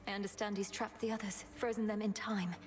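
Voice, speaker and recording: A woman playing an earnest, resolute young witch princess in a video game, speaking in a formal, measured manner. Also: medium pitch, little pitch movement, natural voice. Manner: formal, measured, archaic phrasing. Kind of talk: video game drama acting.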